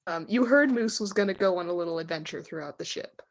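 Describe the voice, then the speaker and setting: A woman's voice: fairly low pitch, fast. A woman running a tabletop game as game master, narrating in an informal, easygoing way.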